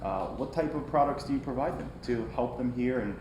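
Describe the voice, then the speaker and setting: A man's voice: medium pitch, a little fast. Male interviewer, encouraging, short affirmations, echoey room, background noise. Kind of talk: interview questions, casual.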